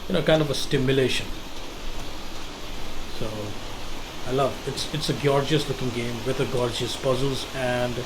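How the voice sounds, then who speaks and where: A man's voice: medium pitch, steady tone, moderate pace, long pauses, background noise. A man hosting a casual game walkthrough, guiding the viewer step by step and often repeating himself. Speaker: gameplay walkthrough host.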